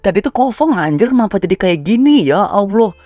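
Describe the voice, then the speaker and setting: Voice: mid-range voice, very animated, quick pace, darkish tone, dry recording. An Indonesian gamer giving casual, slangy commentary, chuckling and talking to themselves while playing. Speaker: gaming streamer. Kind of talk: casual gameplay commentary, reacting as they play. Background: game music.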